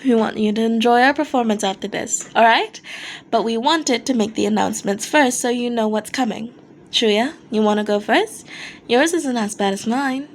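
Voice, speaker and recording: A woman's voice: on the high side, normal speed, lightly acted. A woman voicing a playful, coaxing male rock singer with a showman's manner in a fan fiction reading. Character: teasing, theatrical male rock band singer, smooth showman. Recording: noticeable background noise.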